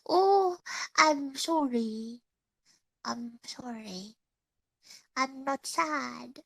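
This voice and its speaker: A young Indonesian woman with an Indonesian accent and a stuffy nose, chatting casually with listeners as a streamer, halting and searching for words in English. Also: sits high, lively intonation, vocal fry, no echo. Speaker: VTuber streamer.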